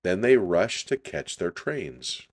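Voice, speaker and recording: Slow, very deep-voiced man reading a storybook. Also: big pitch swings, breathy edge. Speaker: children's storybook reader. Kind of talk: read-aloud storytelling.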